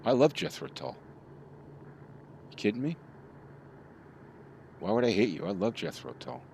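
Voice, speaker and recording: A man's voice: medium pitch, very animated, unhurried, creaky, darkish tone, slight background noise. A middle-aged man chatting casually with his live chat while he plays, rambling from topic to topic. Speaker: streamer.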